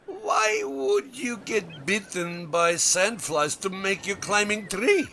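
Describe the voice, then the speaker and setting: An actor playing an earnest, proud Russian cosmonaut in a comedy audio drama, with a Russian accent and broken English. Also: male voice, high voice, very animated, slow, gravelly, pushed and bright, a little hiss or hum, exaggerated voice. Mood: puzzled. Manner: broken English with dropped articles.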